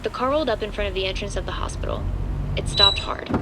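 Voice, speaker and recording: A woman's voice: medium pitch, quick pace, noisy background, natural voice. A woman as the composed third-person narrator of a scripted horror audio drama. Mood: matter-of-fact. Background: loud music.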